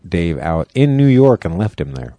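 A man's voice: sits low, sing-song, normal speed, dark tone, dry recording. A middle-aged man co-hosting a podcast, chatting in a loose, rambling, joking way. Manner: rambling, jokey, trails off. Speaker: podcast co-host. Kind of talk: casual chat.

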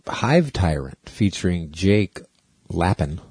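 A man's voice: low voice, very animated, slow pace, darkish tone, slightly rough, no echo, clean studio sound. An American man co-hosting a podcast, chatting casually and rambling along in a laid-back, conversational way. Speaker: podcast co-host.

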